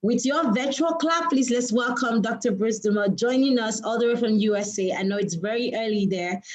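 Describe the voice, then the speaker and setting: A woman's voice: on the high side, moderate pace. A young woman moderates an online session, introducing the guest speaker and correcting herself over a few words. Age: young adult. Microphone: video call.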